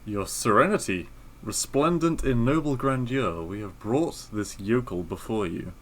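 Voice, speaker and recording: A man's voice: medium pitch, expressive, measured pace. A man voicing a deferential soldier who addresses his sovereign in formal, grandiose declamation, reading from a storybook.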